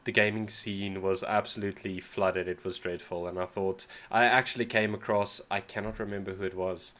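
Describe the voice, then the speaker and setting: A man with a South African accent and a fairly low voice talks loosely and self-deprecatingly, often stumbling and restarting his words. His voice sounds slightly off because he is still a bit sick. He speaks in casual, rambling host chat. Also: level tone, normal speed, a bit bright. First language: Afrikaans. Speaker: music reaction channel host. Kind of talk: casual reaction video chat.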